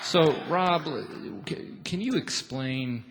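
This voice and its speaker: A man's voice: on the high side, takes it slowly, crowd noise, noisy background. A man hosting a live radio show, interviewing and announcing from a theatre stage.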